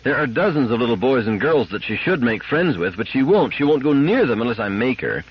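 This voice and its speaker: A man's voice: mid-range voice, sing-song, fairly quick, a little hiss or hum, pitched above the performer's own voice, lightly acted. A man voicing an anxious, insistent wife and mother in an audiobook story. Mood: insistent, worried. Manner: insistent, argumentative. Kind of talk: audiobook character acting. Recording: dull lo-fi radio-like audio.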